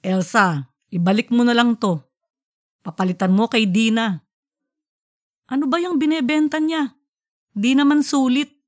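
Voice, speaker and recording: A woman's voice: fairly high pitch, a little slow, long pauses, lightly acted. Woman voicing a grumbling, irritated husband in an audiobook. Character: fussy, easily irritated middle-aged husband, grumbling. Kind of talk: audiobook character voice.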